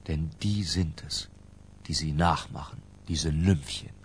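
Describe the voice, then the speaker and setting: An actor playing an obsessed, cultured middle-aged narrator in a literary radio drama, lyrical and intimate. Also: male voice, very deep voice, steady tone, takes it slowly, a little hiss or hum. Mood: entranced, yearning.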